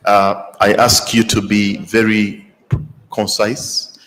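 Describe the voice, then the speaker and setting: A man moderating a conference session, giving brisk, firm directions to the audience. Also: on the low side, level tone, fairly bright tone, low-quality recording. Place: conference hall.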